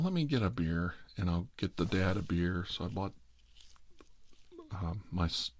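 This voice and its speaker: A man's voice: low-pitched, no room sound. A middle-aged man telling a personal story in a casual, rambling way. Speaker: video storyteller.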